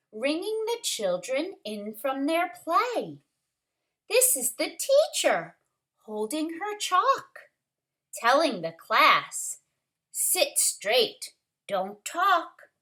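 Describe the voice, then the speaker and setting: A woman reading a picture book aloud to young children, expressive and dramatic, speaking like a teacher who pauses to ask questions. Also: high-pitched. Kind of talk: animated storybook read-aloud for young children.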